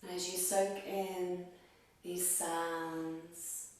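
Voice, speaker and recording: A woman teaching yoga nidra, guiding a meditation gently and unhurriedly in short phrases with pauses between them. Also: on the low side, flat delivery. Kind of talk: guided meditation, slow soothing instruction. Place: reverberant room, recorded from a distance. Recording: very echoey room, distant microphone.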